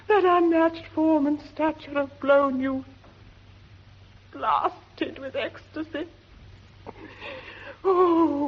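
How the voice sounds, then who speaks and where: Heartbroken young lady, posh english rp, very slow and high, old radio drama. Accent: English Received Pronunciation. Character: gentle, grief-stricken young noblewoman, devout and obedient. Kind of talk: 1940s British radio Shakespeare acting. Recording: dull 1940s radio recording.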